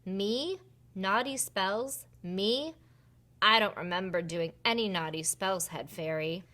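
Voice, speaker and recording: A woman's voice: mid-range voice, slow, bright, forceful tone. A woman voicing a naughty little girl fairy in a picture book, puzzled and innocent, full of questions.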